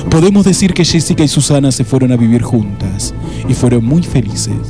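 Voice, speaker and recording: An Argentine man narrating a scripted radio drama as its storyteller. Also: on the high side. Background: faint music.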